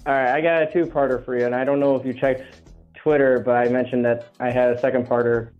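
A man's voice: mid-range voice, little pitch movement, faint music behind. Teasing male caller on a dull line. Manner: fast, teasing, laughs between remarks. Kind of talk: casual call-in chat.

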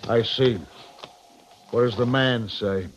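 An actor playing a stern, clipped, commanding frontier cavalry captain in a 1950s radio western. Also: male voice, medium pitch, fairly even intonation, slow pace, natural voice. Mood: grave, controlled. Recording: muffled 1950s radio recording, faint noise.

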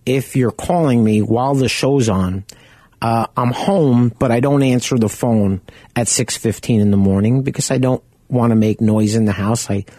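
A man hosting a car-buying radio show from home, talking in a loud, chatty, conversational way. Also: medium pitch, normal speed, no room sound. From Arizona. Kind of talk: casual radio talk.

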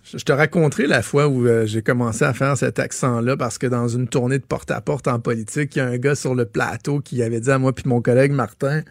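A man's voice: medium pitch, fast, few pauses, no echo, studio quality. Quebec radio man, chatty and laughing. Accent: Quebec French. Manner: laughing, animated storyteller. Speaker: radio co-host. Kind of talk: casual radio banter. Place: radio studio. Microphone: studio mic.

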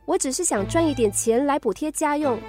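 An earnest teenage daughter in a radio drama, with a high, youthful voice. She is hesitant and pleading yet stubborn, with faint music behind her. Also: lively intonation, a little fast. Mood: earnest, apologetic. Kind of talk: Mandarin radio drama acting.

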